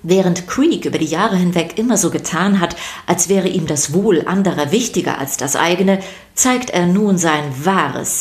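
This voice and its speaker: A woman narrating a scripted children's story podcast, addressing her listeners playfully and directly. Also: on the low side.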